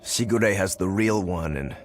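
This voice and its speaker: A man's voice: low voice, measured pace, a little hiss or hum. A man playing an earnest, easygoing, determined young swordsman in a video game, speaking in a straightforward way. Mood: explaining, earnest. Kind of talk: English video game dub acting.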